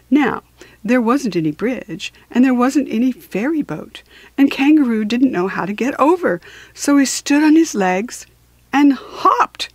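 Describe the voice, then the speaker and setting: A woman's voice: mid-range voice, no room sound. A woman narrating a children's storybook expressively, with dramatic, rhythmic emphasis.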